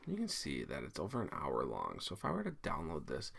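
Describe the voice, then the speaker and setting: A man's voice: a bass voice, wildly swooping pitch, a little fast, vocal fry, fairly bright tone. A man giving a casual screencast tutorial, explaining each step informally and thinking aloud as he works. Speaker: teacher giving a software tutorial. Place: indoors at a computer, screen recording.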